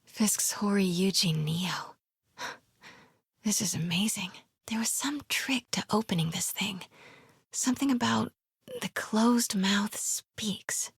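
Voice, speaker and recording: A woman playing a plucky, quick-witted young female investigative reporter in a video game, with a breathy voice. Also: medium pitch, dry recording, studio quality, full character voice. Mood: hushed, focused. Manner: hushed muttering to herself.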